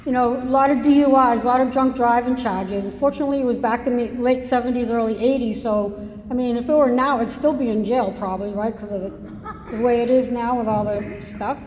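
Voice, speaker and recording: Brief: older woman, Massachusetts accent, slightly high voice, frank storyteller, roomy hall with faint hiss. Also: steady tone, fairly quick, darkish tone. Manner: candid, rambling, self-deprecating humor. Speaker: speaker at a recovery meeting. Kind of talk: personal testimony talk. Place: meeting hall. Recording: echoey hall, faint noise.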